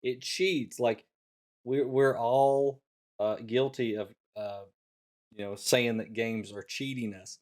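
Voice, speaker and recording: A man's voice: mid-range voice, steady tone, takes it slowly, darkish tone. A middle-aged man talking casually as co-host of a talk show. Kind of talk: casual chat.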